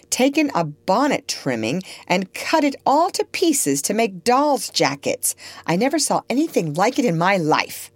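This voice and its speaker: A woman's voice: medium pitch, no echo, pitched above the performer's own voice. A woman voicing a strict, prim guardian at the end of her patience in a storybook, fussy, indignant and emphatic.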